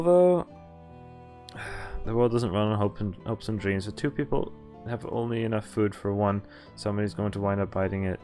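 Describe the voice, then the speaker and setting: A man's voice: lowish voice, darkish tone. Young man reading a game aloud, music behind. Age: young adult. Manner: casual, breaks off to joke and comment. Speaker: streamer reading a visual novel aloud. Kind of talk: live game playthrough, reading text with casual commentary.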